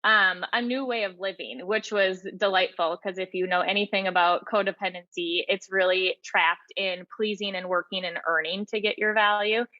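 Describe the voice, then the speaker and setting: A woman's voice: medium pitch, steady tone, moderate pace, few pauses, bright, forceful tone, dry recording, highs cut off. A woman speaking as an interview guest, reflecting on her own experience in an earnest, explanatory way. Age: 30s or older. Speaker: podcast guest, author and teacher. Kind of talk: reflective personal interview talk.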